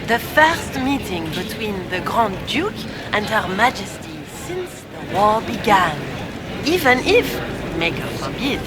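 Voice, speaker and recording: A woman's voice: mid-range voice, very animated, takes it slowly. A woman voicing a gracious, formal court historian in dramatic scripted fantasy game dialogue. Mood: earnest, excited. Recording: noisy game ambience behind.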